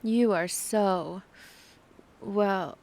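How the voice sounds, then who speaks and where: A woman's voice: medium pitch, drawn-out words, darkish tone, a little hiss or hum. A woman playing a haughty, mocking rival vampire in an ASMR villain roleplay, condescending as she savors short phrases. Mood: mocking, intrigued.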